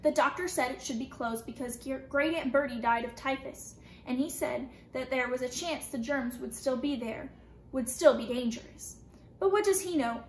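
A steady, calm mother, voiced by a woman reading aloud in a high, clear voice. The reading echoes around a roomy space, with faint noise in the background. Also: close to an everyday voice. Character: calm, quick-witted mother facing a soldier.

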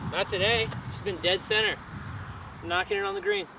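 A young man hosting a golf video, hyping up his team in excited banter outdoors. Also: high-pitched, fairly quick. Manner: excitable, hyped up. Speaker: golf channel host. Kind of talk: casual banter on a golf course. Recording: from a distance, loud outdoor noise.

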